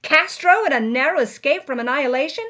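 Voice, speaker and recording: A woman's voice: high-pitched, animated, fairly quick, a bit bright. A woman playing a jaded, wry 1950s movie actress on the run, in a theatrical, confessional monologue. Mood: frantic despair. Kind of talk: dramatic first-person monologue.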